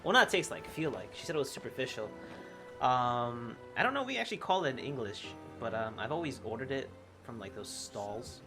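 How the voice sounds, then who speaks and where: A game streamer giving casual, excitable and playful commentary on a playthrough. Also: mid-range voice, fairly quick, music in the background.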